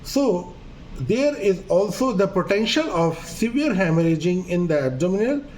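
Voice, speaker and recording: A man's voice: high voice, very animated, normal speed, a little mellow, a little hiss or hum, low-quality recording. A man whose first language is Urdu, a doctor giving a medical video lesson in an earnest, hesitant way, with fillers and repeated phrases. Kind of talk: medical lecture.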